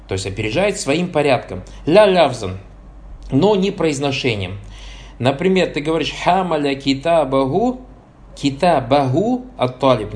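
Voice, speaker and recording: A man with a fairly high voice leads a grammar class. He reads passages, translates them and keeps checking his listeners with quick questions. He works blessing formulas in between points and fixes his own slips on the spot. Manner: asks the listeners short check questions as he goes; slips and corrects himself. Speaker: teacher of Arabic grammar. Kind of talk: explaining a grammar text, reading passages and translating.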